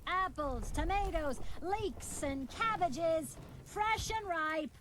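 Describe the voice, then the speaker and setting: A woman's voice: very high-pitched, expressive, slow, fairly bright tone, a little hiss or hum, heavily acted. A woman voicing a worldly, matter-of-fact market fruit seller in a video game. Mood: hawking. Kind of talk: video game character dialogue.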